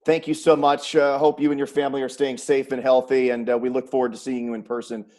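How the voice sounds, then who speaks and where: A man's voice: medium pitch, flat delivery, fast talker, a little mellow. An American man hosting a sports interview series, putting questions in a conversational, announcer-like way. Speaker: web series host, sports play-by-play announcer.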